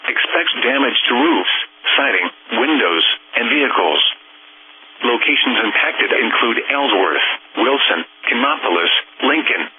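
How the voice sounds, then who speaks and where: A synthetic American male voice reading scripted emergency weather bulletins in a formal, impersonal, measured manner. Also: medium pitch, husky. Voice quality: synthetic text-to-speech voice. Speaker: automated weather radio announcer. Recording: noisy, narrow-band weather radio broadcast.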